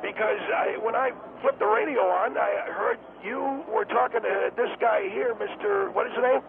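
Male caller, very high and swooping voice, gravelly, confused and halting, airport noise behind. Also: sing-song, phone-quality sound. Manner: confused, hesitant, many fillers.

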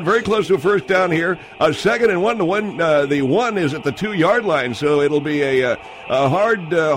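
A man's voice: high-pitched, a little hiss or hum. A man doing a comedic football play-by-play, rambling with many fillers and digressions. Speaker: sports announcer.

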